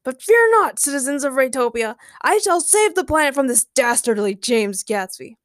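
A woman voicing a pompous, excitable male king in a children's story, speaking in emphatic exclamations. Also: high voice, moderate pace, no echo, studio quality. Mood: heroically defiant.

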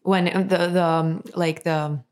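A woman's voice: on the low side, fairly even intonation, unhurried, darkish tone. A woman from Finland, co-hosting a podcast in casual, rambling chat full of fillers and restarts. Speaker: podcast co-host.

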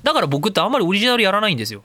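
A man hosting his own talk radio show, rambling in a casual, self-mocking way with rough speech. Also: sits high, wildly swooping pitch, very fast, sharp tone. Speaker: radio show host and voice creator.

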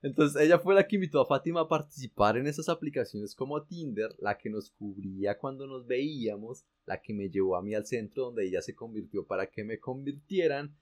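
A man with a Colombian accent recounts his story in a casual, animated way. He drops slang and mild swears freely. His pitch sits in the middle range for a man. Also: fairly quick, hardly any pauses. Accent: Colombian Spanish. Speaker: guest telling his story.

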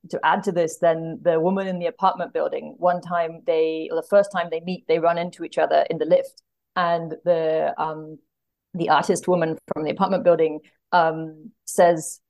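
Low-pitched woman with a creaky voice. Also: a little mellow. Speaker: podcast guest, literary translator. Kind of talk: casual book discussion.

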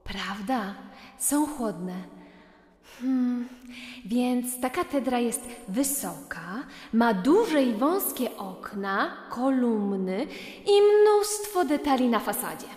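A woman playing a sensible, knowledgeable big sister in a children's audio play, gentle and explanatory. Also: on the high side, big pitch swings, takes it slowly, husky, no echo, studio quality, exaggerated voice. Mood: thoughtful.

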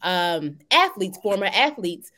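A woman's voice: medium pitch, sing-song, measured pace, pushed and bright. A woman co-hosting a casual podcast, talking in a chatty way full of "um" and "like". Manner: chatty, fills gaps with "um" and "like". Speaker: podcast co-host.

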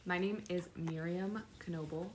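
A young adult woman, a podcast guest with a voice worn low from yelling, speaking briefly and laid-back. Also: steady tone, a little slow, slight background noise.

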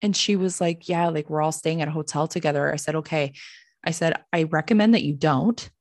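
A young Canadian woman chats through a personal story in a slightly low voice. She is blunt and sweary, animatedly acting out who said what. The sound is dry, with very little room. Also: fairly quick, no room sound. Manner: animated, blunt, sweary, acts out quoted dialogue. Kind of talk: casual conversational storytelling.

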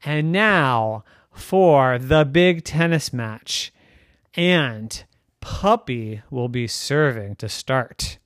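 A storyteller voicing a cheerful girl who plays tennis with her puppy, speaking in a bright, upbeat, encouraging way. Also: mid-range voice, lively intonation, extremely slow, dry recording, clean studio sound. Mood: announcing.

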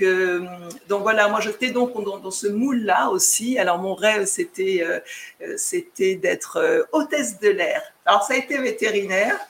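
A woman's voice: lowish voice, a little hiss or hum. A woman from France chatting on a live stream with her viewers, talking hesitantly with many fillers and restarts.

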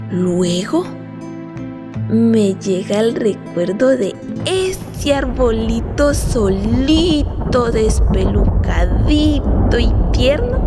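An actress playing a wistful, dreamy eight-year-old girl in a radio play, telling her story in a childlike, expressive way. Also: a little high. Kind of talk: radio theatre monologue. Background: music.

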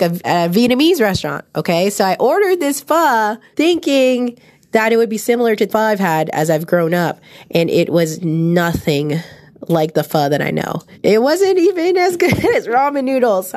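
A woman's voice: medium pitch, moderate pace. A woman from San Francisco reviewing restaurant dishes in casual chat, still recovering from the flu. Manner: opinionated, animated storytelling. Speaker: food review co-host.

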